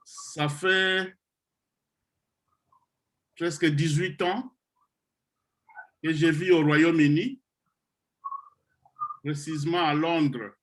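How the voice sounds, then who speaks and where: A middle-aged man from the Congo, slowly and deliberately addressing trainees of his online computer course. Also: on the high side, steady tone, a bit bright. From Democratic Republic of the Congo. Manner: slow, deliberate, with pauses and hesitations. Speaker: project founder leading an online computer course. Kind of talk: motivational talk to trainees on a video call.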